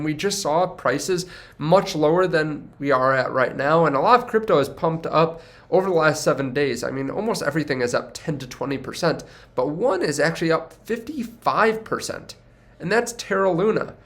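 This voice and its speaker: A man's voice: a little high, a bit bright. A young man with a congested, stuffy voice, talking casually to camera as a finance video host, rambling and correcting himself as he goes. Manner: rambling, self-correcting, upbeat. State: congested from a cold.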